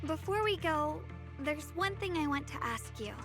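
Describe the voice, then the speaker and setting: A woman voicing a gentle but determined young swordswoman in an anime game, with a soft, youthful, sincere delivery. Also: high-pitched, expressive, normal speed, over music. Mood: questioning.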